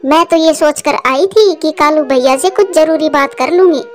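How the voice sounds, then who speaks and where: A woman's voice: sits high, normal speed, faint music behind, natural voice. A woman voicing an earnest, hard-working female cartoon bird who frets aloud in a plaintive manner. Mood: calling out. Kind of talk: Hindi cartoon story voice acting.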